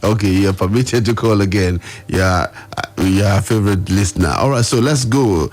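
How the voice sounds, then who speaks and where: Radio phone-in host: male, Nigerian accent, low register. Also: moderate pace, a little mellow. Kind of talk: live radio phone-in hosting. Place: radio studio.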